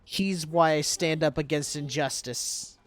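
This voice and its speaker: A man's voice: a little high, unhurried. A man streaming a video game with casual, wry, joking commentary. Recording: game music underneath.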